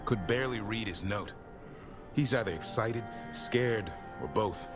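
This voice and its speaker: A male actor as an easygoing game comrade with a very deep voice. He talks wryly and conversationally, with clear music behind him. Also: unhurried, close to an everyday voice. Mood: wry, concerned. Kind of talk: video game voice acting.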